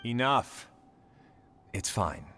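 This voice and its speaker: An actor playing a weary, resigned young graduate student in a video game, speaking in a placating way. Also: male voice, mid-range voice, animated, very slow, fairly bright tone, a little hiss or hum, heavily acted.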